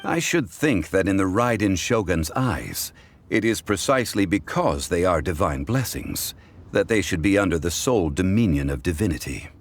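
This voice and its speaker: An actor playing a calm, dignified ancient god in human guise for a video game, explaining in a measured, formal, scholarly way. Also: male voice, low-pitched, a little hiss or hum. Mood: solemn.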